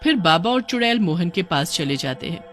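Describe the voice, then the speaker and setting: A woman's voice: lowish voice, expressive, normal speed, a bit bright, faint music behind. A woman narrating a scripted story in a dramatic storytelling manner.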